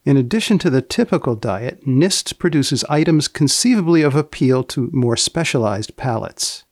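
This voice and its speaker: A man hosting a podcast, reading wry scripted narration and putting questions in a deadpan, precisely worded way. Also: medium pitch, moderate pace, no echo, clean studio sound. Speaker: podcast host.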